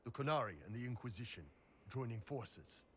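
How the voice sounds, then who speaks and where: An actor playing a big, easygoing mercenary captain in a video game, talking bluntly and informally. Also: male voice, mid-range voice, animated, fairly quick, a bit bright, a little hiss or hum, close to an everyday voice. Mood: frank. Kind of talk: video game dialogue acting.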